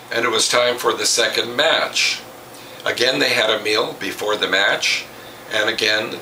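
A man in his sixties presenting in a deliberate, instructional way, like someone telling a plain story or giving a how-to demonstration. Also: on the low side, pushed and bright. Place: home kitchen, indoors. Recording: noisy home-kitchen recording, distant mic.

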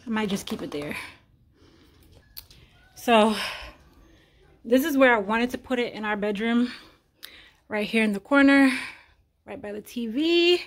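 A woman's voice: on the high side, expressive, measured pace, pauses often. Young woman doing a home decor vlog, chatty, says y'all a lot, camera set up across the room. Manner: chatty, talks to viewers as y'all, laughs at herself. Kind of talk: casual home vlog chat. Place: bright home, living room and stairway.

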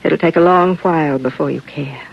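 An American actress playing a calm, wistful woman psychiatrist of sixty-six in a 1950s radio drama. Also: low voice, moderate pace, vocal fry, a little hiss or hum. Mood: wistful, gentle. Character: gentle, wistful sixty-six-year-old woman psychiatrist aboard spaceship. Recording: dull vintage radio recording.

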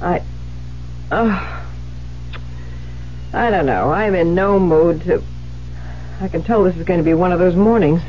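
An actress playing an imperious, irritable middle-aged widowed novelist in a theatrical, impatient 1970s radio drama style. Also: female voice, fairly low pitch, measured pace, pauses often, natural voice. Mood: weary, brisk. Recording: muffled, noisy 1970s radio broadcast with hum.

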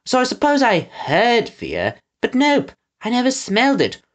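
A man's voice: very high-pitched, huge pitch swings, measured pace, voice pushed up, exaggerated voice. A man voicing a dim, cheerful henchman in a storybook, rambling in folksy, ungrammatical chatter. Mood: cheerfully oblivious.

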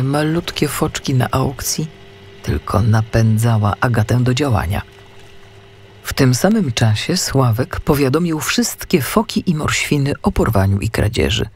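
A man narrating a children's story as an audiobook, with expressive scripted delivery. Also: medium pitch.

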